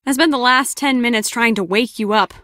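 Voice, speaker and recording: A woman's voice: fairly high pitch, brisk, a bit bright, no echo, natural voice. An actress playing a hard-nosed, sardonic female spy in a video game, with a dry, wry manner. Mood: deadpan.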